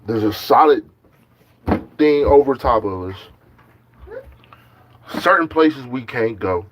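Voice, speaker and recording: A man's voice: medium pitch, expressive, slow, a little hiss or hum. A man from Ohio, ranting casually in loose, slangy talk and preaching his views. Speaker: beatmaker talking to camera. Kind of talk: casual rant.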